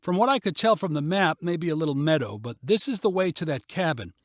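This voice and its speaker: A man's voice: a little high, expressive, a little fast, fairly bright tone, no echo, studio quality. A man voicing an upbeat, confident mother in a story, with an encouraging, calling-out manner. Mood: reassuring. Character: upbeat, confident mother leading a family hike.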